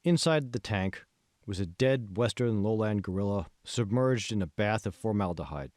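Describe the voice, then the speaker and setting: A man reading scripted fiction as an audiobook narrator, in a measured, phrase-by-phrase way. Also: lowish voice, animated, darkish tone, no echo, studio quality. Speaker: author reading his own audiobook.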